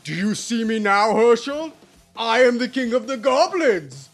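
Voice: medium pitch, big pitch swings, measured pace, faint music behind, pitched above the performer's own voice. A storyteller voicing a boastful, menacing goblin king with grandiose, theatrical proclamations. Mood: demanding.

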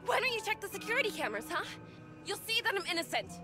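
An actress playing an indignant, foul-mouthed young woman shop clerk in a visual novel, shrill and defiant. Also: sing-song, rapid-fire. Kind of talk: anime-style visual novel voice acting. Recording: music behind.

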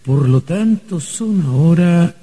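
A calm, sly official from the beyond in a radio play. His very dark, raspy voice is low and measured, with lively swings as he politely explains. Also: full character voice. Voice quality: very husky, dark. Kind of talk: old radio drama acting.

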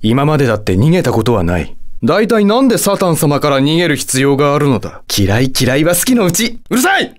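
A man's voice: a little high, sing-song, a little fast. A man voicing a haughty, hot-tempered, lovestruck demon lord in a video game, with a theatrical, condescending delivery. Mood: indignant. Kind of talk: Japanese video game character voice acting.

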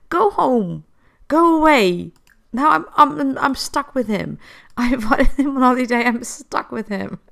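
Woman with a cold doing chatty game commentary, gravelly voice, giggles a lot. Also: high voice. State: has a cold. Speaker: gameplay host. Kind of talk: casual gameplay commentary.

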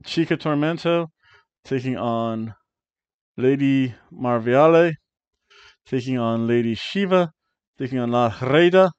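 A middle-aged man talks slowly and playfully through his wrestling picks, drawing out the names with rolled R's. He speaks into a headset mic in a small room at home. The sound is dry and a bit dull and lo-fi. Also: medium pitch, clear, resonant tone, no room sound. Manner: playful, rolls R's on wrestler names, hums dramatic tunes. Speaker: wrestling review host. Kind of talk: casual predictions chat to camera.

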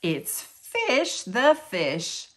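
A woman reading children's picture books aloud in an expressive storytelling style. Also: fairly high pitch, extremely slow. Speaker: children's book reader.

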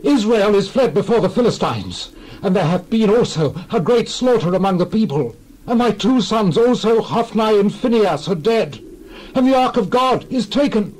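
A man's voice: sits high, lively intonation, moderate pace, darkish tone, pitched above the performer's own voice, poor audio. A man voicing a young male messenger fresh from battle, urgent and raised.

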